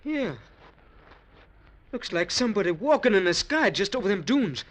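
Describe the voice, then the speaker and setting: A man's voice: sits high, big pitch swings, moderate pace. An actor playing a weary male treasure hunter who talks in a blunt, complaining way in a 1940s radio drama. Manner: complaining, blunt everyday talk.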